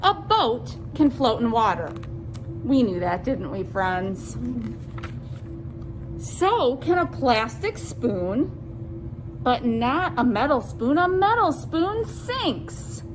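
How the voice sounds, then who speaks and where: A woman presenting a children's science storytime, reading aloud deliberately and speaking to young listeners as friends with simple questions. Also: on the high side, big pitch swings, noisy background.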